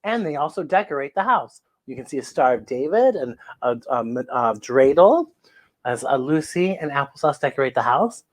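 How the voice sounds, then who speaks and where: A woman's voice: very deep voice, sing-song, normal speed. A woman hosting a children's story time, reading aloud in a gushing, exclamatory way and often repeating phrases for emphasis.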